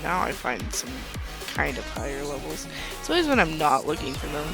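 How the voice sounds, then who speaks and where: Calm young woman rambling over gameplay. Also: low voice, a little fast, gravelly. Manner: calm, soothing, rambling talk with light laughs. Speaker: gaming channel host. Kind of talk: casual gameplay commentary. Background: background music.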